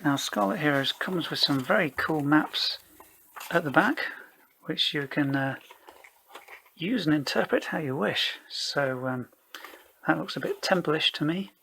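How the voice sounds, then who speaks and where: A man's voice: on the high side, unhurried, vocal fry, bright, forceful tone, slight background noise, low-quality recording. A man improvising narration for a solo tabletop role-playing game, hesitantly thinking aloud as he mulls his options.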